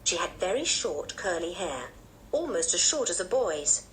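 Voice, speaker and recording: A woman narrating a children's book in an expressive storytelling manner. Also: on the low side, moderate pace, slight background noise, poor audio. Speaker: audiobook narrator. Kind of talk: children's story read-aloud.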